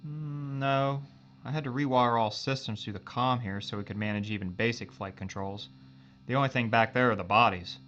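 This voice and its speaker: A man's voice: mid-range voice, moderate pace, a bit bright, muffled recording, slight background noise, close to an everyday voice. Man playing a wry, casual spaceship crewman. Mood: concerned, curious. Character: loyal, wry male spaceship crewman and tinkerer. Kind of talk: audio drama acting.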